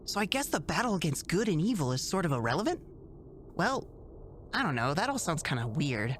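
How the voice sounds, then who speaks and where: A woman's voice: lowish voice, sing-song, brisk, slight background noise. A woman voicing an earnest, naive young boy hero in a cartoon fandub. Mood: curious, earnest.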